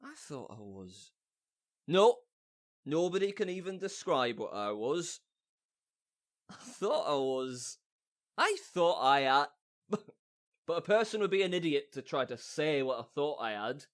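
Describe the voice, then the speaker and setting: A man playing a foolish, bombastic amateur actor in a comic audio drama, theatrical, bumbling and halting. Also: a little high, sing-song. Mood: dazed, wondering.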